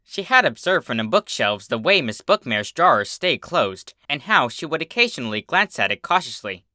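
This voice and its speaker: A man reading fan fiction as a narrator, in an expressive scripted storybook style. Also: medium pitch, moderate pace, no echo, clean studio sound.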